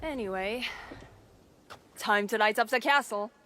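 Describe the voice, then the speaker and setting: A woman's voice: fairly high pitch, measured pace, pushed and bright. Playful young samurai noblewoman, faint noise. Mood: brisk playful. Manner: teasing, self-assured. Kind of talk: video game cutscene voice acting.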